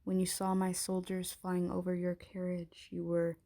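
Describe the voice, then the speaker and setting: Woman as menacing dragon queen, low-pitched, gravelly creak, imperious and taunting, intimate ASMR roleplay. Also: monotone, moderate pace, mellow, lightly acted. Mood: mocking. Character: haughty, menacing dragon queen, possessive and mocking.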